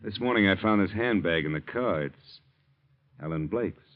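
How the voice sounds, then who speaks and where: A man's voice: deep voice, animated, normal speed. A man playing a smug, sly blackmailing chauffeur in an old-time radio drama, with an oily, mock-polite, insinuating manner. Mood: accusing. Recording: muffled tinny old radio recording.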